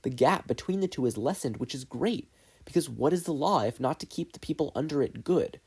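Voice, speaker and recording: A man's voice: fairly high pitch, animated, brisk, a little mellow, slightly rough, exaggerated voice. A man voicing an earnest, idealistic teenage boy student in an audiobook, arguing emphatically. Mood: impassioned, persuasive. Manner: emphatic, argumentative.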